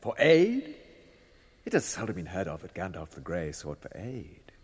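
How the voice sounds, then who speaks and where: A proud old wizard declaims in a cavernous, ringing hall. His lowish voice swings wildly as he schemes for power with theatrical scorn, in radio drama style. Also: huge pitch swings, moderate pace, slightly rough, exaggerated voice. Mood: scornful, boastful. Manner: grandiose, theatrical, scornful oratory. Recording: reverberant chamber radio drama sound.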